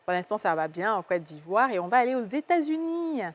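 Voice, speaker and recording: An Ivorian woman hosts a casual talk show panel with a medium-pitched voice. She speaks colloquially and reacts with exclamations as she steers the discussion. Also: big pitch swings, a little fast.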